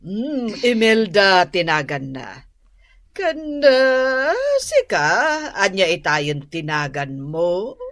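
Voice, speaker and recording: Slow, lively Filipina madam. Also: female voice, on the low side, dry recording, heavily acted. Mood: nosy, breezy. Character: madam, a nosy regular parlor customer. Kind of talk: Filipino radio drama acting.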